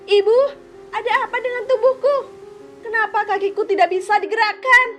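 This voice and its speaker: Shrill stuck-up young girl in a kids cartoon, woman doing the voice, snooty and mean, way high. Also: brisk, fairly bright tone, faint music behind. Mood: panicked pleading. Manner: haughty and scornful, high shrill voice. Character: vain, arrogant young village girl.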